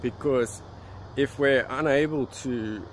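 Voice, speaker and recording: A man's voice: mid-range voice, slow, poor audio. A man giving a rambling, informal monologue in an opinionated, scornful manner, often posing rhetorical questions. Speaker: vlogger on alternative health. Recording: loud outdoor urban traffic noise.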